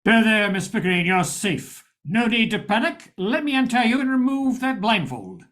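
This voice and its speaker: An older woman playing a confident, brisk private detective in an amateur old-time radio drama. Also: fairly high pitch, very animated, a bit bright. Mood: reassuring.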